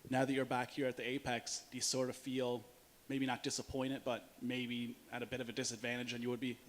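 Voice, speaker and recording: A man's voice: medium pitch, flat delivery, brisk. A man working as a reporter, asking an athlete questions at a press conference. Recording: faint hiss and noise.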